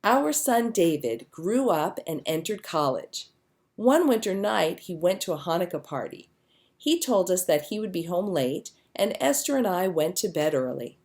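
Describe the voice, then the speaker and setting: A woman reading a children's story aloud as a narrator, with expressive storytelling delivery. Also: fairly low pitch, normal speed. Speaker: storybook reader.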